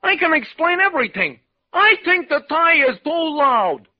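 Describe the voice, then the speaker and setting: A man's voice: very high-pitched, very animated, moderate pace, pitched above the performer's own voice. A man voicing a little whiny, shifty hoodlum in wheedling gangster slang in a 1940s-style radio crime drama. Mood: wheedling, defensive. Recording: dull old radio recording.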